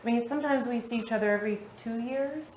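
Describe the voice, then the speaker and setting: A woman's voice: on the high side, quick pace, a little mellow, slight background noise. A woman giving an informal speech to her family, talking effusively in long run-on sentences. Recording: echoey room.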